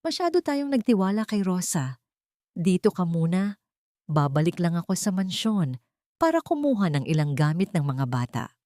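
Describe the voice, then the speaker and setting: A woman's voice: fairly low pitch, sing-song, normal speed, warm tone, no echo, clean studio sound. A woman playing a betrayed, remorseful wealthy husband and father in an audio drama, restrained and solemn. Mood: restrained calm.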